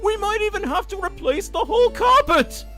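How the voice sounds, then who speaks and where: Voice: very high-pitched, expressive, moderate pace, bright, forceful tone, faint music behind, exaggerated voice. An actor voicing an angry, haughty male pony Lord Chamberlain, indignant and sarcastic, in a dramatic fan fiction reading. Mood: outraged, sarcastic.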